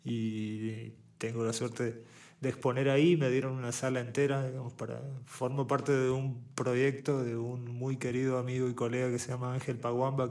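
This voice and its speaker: A man from Uruguay with a Rioplatense Buenos Aires accent, a visual artist talking as a guest in an interview, thoughtful and halting, often restarting his phrases. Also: mid-range voice, darkish tone. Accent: Rioplatense Spanish, Buenos Aires. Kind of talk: relaxed interview conversation.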